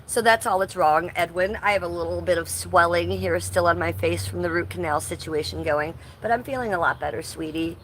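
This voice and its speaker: A woman's voice: lowish voice, a little fast, hardly any pauses, rough recording. A woman in her fifties hosting a casual live stream from her front yard, playfully greeting viewers by name. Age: 50s. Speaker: livestream host. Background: faint ambient noise of roofers working nearby.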